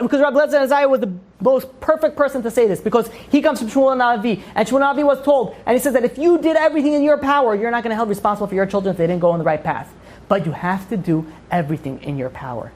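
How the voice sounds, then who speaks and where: Rapid-fire woman teaching a religious class. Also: mid-range voice, darkish tone. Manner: emphatic, asks and answers own questions. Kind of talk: informal religious lecture to a group.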